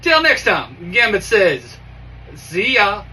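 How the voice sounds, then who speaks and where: A man's voice: high-pitched, wildly swooping pitch, slow, sharp tone, breathy edge, full character voice. A man voicing a boisterous, swaggering comic-book mutant who talks about himself in the third person. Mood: swaggering, upbeat. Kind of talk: in-character sign-off and plug. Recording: distant mic with background noise.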